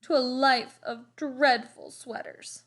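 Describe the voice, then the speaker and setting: A woman's voice: sits high, takes it slowly, vocal fry, a bit bright, full character voice. A woman voicing a gloomy, earnest young boy in a storybook, with woeful, dramatic delivery. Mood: glum. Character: gloomy, melodramatic, earnest boy in dreadful sweaters.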